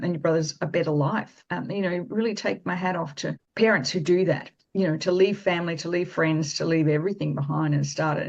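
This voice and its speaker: A woman host leading a conversational interview, encouraging and quick to affirm, with halting restarts as she frames her questions. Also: on the low side, creaky, breathy edge. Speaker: podcast host. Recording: slightly dull, lo-fi audio.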